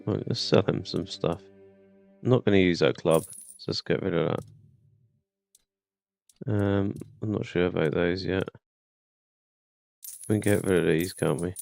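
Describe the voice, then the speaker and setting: A man's voice: low voice, fairly even intonation, unhurried, creaky, pauses often, darkish tone. A British man giving casual gameplay commentary, musing and thinking aloud in questions as he plays. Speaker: gamer, let's play host. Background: faint game music and sounds.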